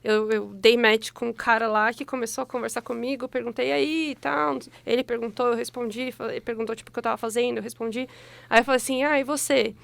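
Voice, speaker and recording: A young woman from Brazil co-hosting a radio show, telling stories in a lively, laughing way. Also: on the high side, fast talker, vocal fry, no echo. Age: young adult. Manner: animated storyteller, laughs often. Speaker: radio co-host. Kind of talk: casual chat. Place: radio studio.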